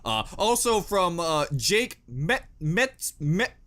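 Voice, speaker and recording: A man's voice: high-pitched, sing-song, slow, pushed and bright. A man hosting a reaction video with casual, joking, exaggerated and profane commentary.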